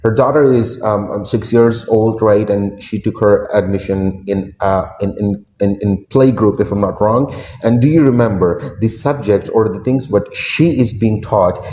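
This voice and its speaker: Urdu-speaking man from Pakistan, stumbling guest speaker; warm tone, faint hiss in a reverberant room. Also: on the low side. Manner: hesitant, repeats words, many fillers. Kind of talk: interview answers.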